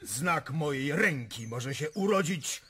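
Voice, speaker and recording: A man's voice: on the high side, animated, slow, sharp tone, a little hiss or hum, full character voice. An actor playing a proud, scornful Polish patriot nobleman in a radio drama, pointed in delivery.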